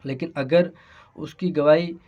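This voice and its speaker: Man, Pakistani accent, slightly high voice, slow hesitant lecturer, repeats phrases, dull lo-fi recording. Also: fairly even intonation. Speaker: law lecturer. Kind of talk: explanatory law lesson. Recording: dull, lo-fi sound.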